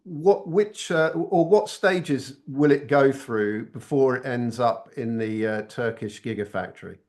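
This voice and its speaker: A man's voice: medium pitch, unhurried, darkish tone. A man speaks as the host of an informal business interview. He puts his questions conversationally, and he tends to feel his way hesitantly toward the point.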